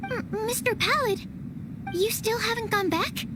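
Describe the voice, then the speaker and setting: A woman's voice: very high-pitched, a little slow. A woman voicing a timid, earnest young woman alchemist in a video game, hesitant, polite and apologetic in formal phrasing. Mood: polite concern. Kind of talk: animated game dubbing. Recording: clearly noisy background.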